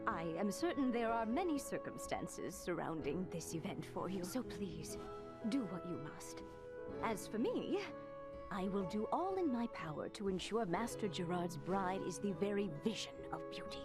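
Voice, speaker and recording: A woman plays a fantasy tailor who fawns politely over noble customers. Her delivery is formal and effusive, with a highly animated rise and fall, suited to a game cutscene. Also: normal speed, loud music behind the voice, natural voice. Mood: reassuring. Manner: formal, fawning, theatrical politeness. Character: gracious, effusive, deferential lady tailor. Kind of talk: video game cutscene acting.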